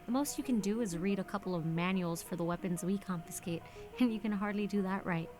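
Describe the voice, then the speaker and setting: A defiant, self-assured lieutenant voiced by a woman in a dramatic military story. She talks in a cool, firm, cutting way at a middling pitch, over faint music. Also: fairly quick, natural voice. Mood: defiant, scornful. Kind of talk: dramatic scripted acting.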